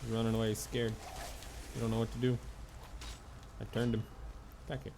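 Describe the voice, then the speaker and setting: A man's voice: mid-range voice, completely flat delivery, brisk, background noise. A man giving casual live commentary on a video game, muttering to himself with short exclamations.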